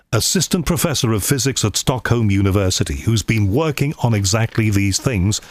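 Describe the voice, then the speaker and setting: A man hosting a podcast, chatty and enthusiastic, filling pauses with frequent uhs as he introduces his guest. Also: medium pitch, dry recording. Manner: chatty, enthusiastic, many ums. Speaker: podcast host. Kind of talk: scripted podcast introduction and interview. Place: cold room at home.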